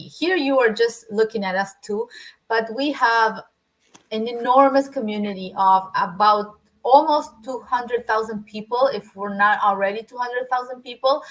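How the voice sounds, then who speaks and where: A woman with a Spanish accent, a native Spanish speaker, talking as a guest in a casual interview, often restarting her sentences and filling pauses. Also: a little high, rough recording. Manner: hesitant, many restarts and fillers.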